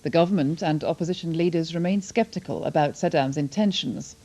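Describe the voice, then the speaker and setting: A woman's voice: sits low, fairly quick, dark tone. A woman reading scripted television news in a studio. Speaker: news reader.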